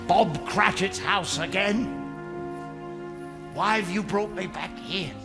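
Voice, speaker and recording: An actor playing a fearful, humbled old miser in a theatrical, halting manner for a musical radio drama. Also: male voice, sits high. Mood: sombre, anxious. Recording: long hall reverb, loud music underneath.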